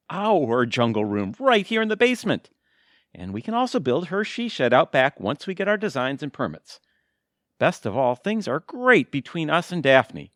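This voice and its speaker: Man acting an animated, goofy middle-aged husband. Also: mid-range voice, no room sound, studio quality. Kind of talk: audio theatre acting.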